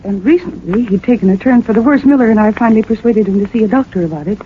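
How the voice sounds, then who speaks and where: Actress as distraught young daughter, urgent and earnest, 1940s radio mystery, dull hissy sound. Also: female voice, mid-range voice, fast talker, lightly acted. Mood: shaken but composed. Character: distraught grown daughter of a murdered amusement park owner. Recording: muffled, hissy 1940s radio broadcast recording.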